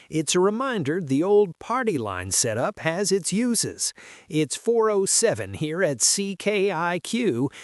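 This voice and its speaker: A man's voice: high-pitched, dry recording, clean studio sound. A man playing a folksy, wry small-town radio newsman and host from the seventies, reading a script on air. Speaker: radio announcer.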